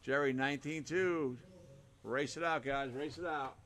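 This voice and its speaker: A man calling a live RC car race with a hoarse, fading voice, rattling off names and lap times in short bursts. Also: fairly high pitch, fairly even intonation, a little slow, fairly bright tone. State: hoarse, losing his voice. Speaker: race announcer.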